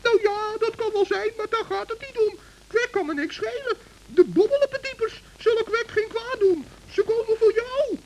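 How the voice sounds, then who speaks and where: A man voicing a small, crafty, kindly woodland gnome in a children's radio play, squeaky and urgent, on an old recording. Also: normal speed, darkish tone, low-quality recording. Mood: earnest, alarmed. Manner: urgent, anxious, squeaky exclaiming.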